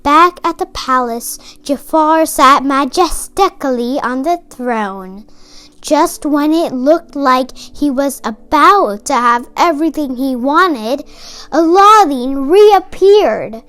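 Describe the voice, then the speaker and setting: A woman's voice: high voice, lively intonation, slow pace, fairly bright tone. A woman reading a children's story aloud from a script. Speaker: storybook reader.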